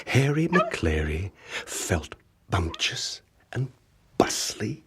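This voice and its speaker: A man narrating a children's picture book in rhyme, with dramatic, measured, expressive storytelling. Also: sits low, breathy edge. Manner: dramatic, measured storytelling with rhythmic rhyming delivery. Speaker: audiobook narrator. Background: music.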